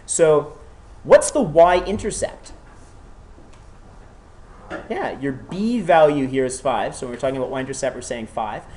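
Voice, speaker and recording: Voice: medium pitch, sing-song, unhurried, pauses often, darkish tone. Teacher with a cold leading class. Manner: checks in often with the class, patient and encouraging. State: has a cold. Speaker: math teacher. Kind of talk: classroom lecture with questions to students. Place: classroom with students.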